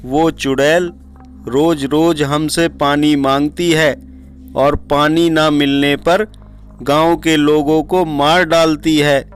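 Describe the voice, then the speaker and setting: A man's voice: a little high, little pitch movement, slow, clean tone, soft background music. A man voicing a worried, pleading villager in a horror cartoon. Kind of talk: Hindi cartoon character dialogue.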